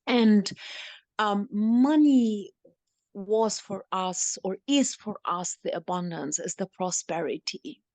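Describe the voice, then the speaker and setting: Slow, creaky-voiced woman guiding a meditation. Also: medium pitch, darkish tone, no echo. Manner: halting, deliberate phrasing with repeated lines. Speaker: meditation guide speaking as a channeled ancient money healer. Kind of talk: guided meditation, channeling monologue.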